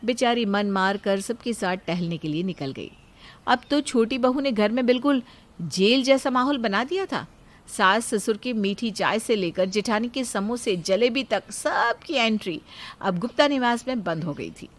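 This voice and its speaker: A woman's voice: on the high side, lively intonation, moderate pace. A woman narrating a scripted moral story as its storyteller.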